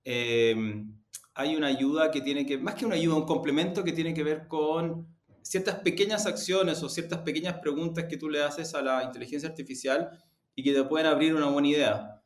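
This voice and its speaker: A man's voice: fairly high pitch, normal speed. A man giving conversational interview answers, explaining things in a hesitant way as he searches for words. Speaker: media executive, interview guest. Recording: roomy, echoey sound.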